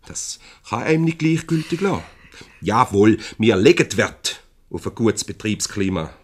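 An officious company director in a 1970s radio comedy. He has a fairly low voice and a Swiss German accent, speaking formally and placatingly with a touch of pomposity. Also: very animated, measured pace. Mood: disapproving, stiff. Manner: formal, pompous, hesitant when mediating.

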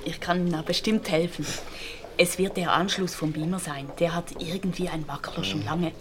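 A woman plays a friendly, confident office coworker with a reassuring, brisk manner. Her voice sits a little low for a woman. Clicks and noise sound behind her. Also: steady tone, lightly acted. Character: helpful, confident woman tech-savvy coworker. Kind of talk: radio drama acting.

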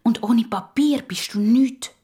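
Woman putting on an outspoken little girl, swiss german, higher voice, eager and curious, kids story. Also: a little slow, dark tone, husky. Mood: secretive.

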